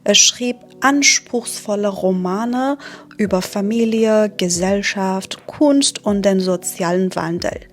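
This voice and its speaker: A young woman from Iran who teaches German, hosting her channel and presenting books in a clear, measured teaching style with occasional hesitations. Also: medium pitch. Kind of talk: slow, clear teaching narration for learners.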